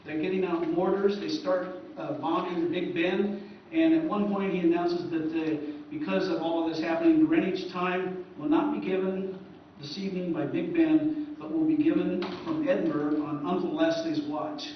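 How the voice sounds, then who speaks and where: A man's voice: sits high, expressive. A man giving an informal, rambling convention lecture as a radio historian, recorded from a distance indoors. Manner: halting, rambling delivery. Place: convention presentation room indoors. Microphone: distant recorder in the room. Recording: very echoey, distant, dull, noisy room.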